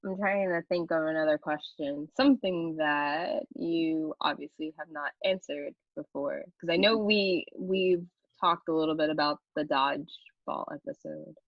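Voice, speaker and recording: A woman's voice: deep voice, a little slow, no room sound, dull audio. A woman interviewing someone in a casual, chatty style.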